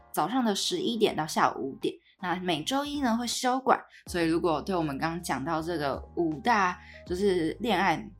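A woman with a Taiwanese Mandarin accent hosting a podcast in a casual, conversational way. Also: low-pitched. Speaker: podcast host.

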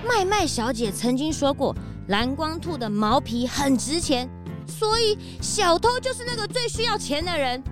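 A woman voicing an eager, cheeky young detective's assistant in a children's audio drama, inquisitive and excitable. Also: sits high, normal speed, music in the background. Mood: excited, accusing.

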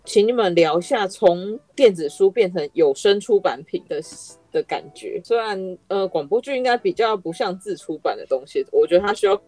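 A woman's voice: medium pitch, animated, normal speed, hardly any pauses, dark tone, soft background music. A woman with a Taiwanese Mandarin accent hosting a casual podcast chat and putting questions to her guests. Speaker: podcast host.

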